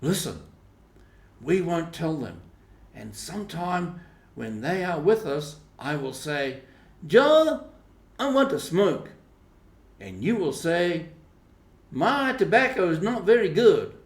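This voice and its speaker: A man voicing an eager, boastful young boy in storybook dialogue, with an excited, emphatic delivery. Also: fairly high pitch, takes it slowly, pauses often, voice pushed up, full character voice. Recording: roomy, echoing voice recording.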